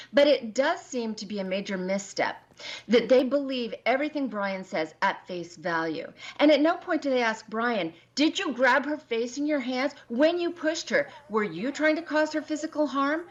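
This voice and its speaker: Woman commentator on a dull, lo-fi link. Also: fairly high pitch. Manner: acts out hypothetical quoted questions. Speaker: TV panelist. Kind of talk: analytical news commentary.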